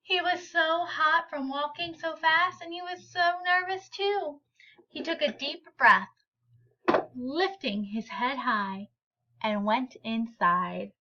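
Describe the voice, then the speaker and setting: A woman reading a children's picture book aloud in an expressive, deliberate and emphatic way. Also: high-pitched, lo-fi sound. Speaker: read-aloud storyteller.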